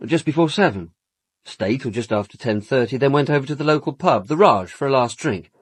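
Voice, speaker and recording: Husky, effusive young Englishman. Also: mid-range voice, expressive, a little fast, dry recording. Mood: casual, evasive. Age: young adult. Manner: effusive, casual, glib. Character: effusive, evasive young man, a widow's idle brother. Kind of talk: British radio drama dialogue.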